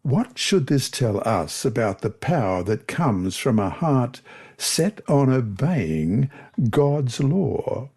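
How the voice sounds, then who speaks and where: A man reads a Bible lesson in a soft-edged, mellow voice of middling pitch. His delivery is measured and expressive, with plenty of rise and fall as he moves between commentary and quoted verses. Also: slightly rough. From Australia. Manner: measured, expressive reading aloud. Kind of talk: scripted devotional reading with scripture quotations.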